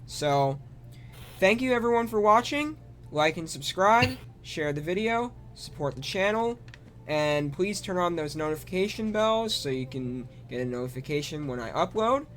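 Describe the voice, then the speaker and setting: A man hosting his own gaming channel, who talks hesitantly in a casual chat and often trails off softly. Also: sits high, lively intonation, moderate pace. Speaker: channel host.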